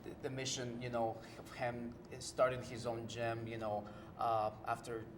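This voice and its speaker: A man's voice: mid-range voice, monotone, measured pace, noisy background. A man from Ecuador with an Ecuadorian Spanish accent, a native Spanish speaker, answering interview questions hesitantly with many fillers. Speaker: guest singer-songwriter.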